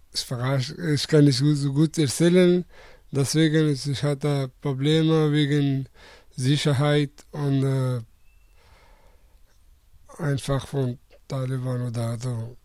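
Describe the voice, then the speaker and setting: A young man in his twenties from Afghanistan who answers interview questions haltingly, searching for words and restarting often. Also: medium pitch, darkish tone, no echo. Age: 20s.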